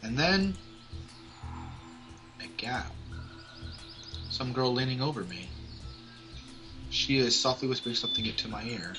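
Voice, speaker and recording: A man's voice: mid-range voice, lively intonation, measured pace, pauses often. A young man doing amateur character readings for a visual novel, theatrical and playful, mixed with casual banter. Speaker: let's-play co-host. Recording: loud music behind the voice.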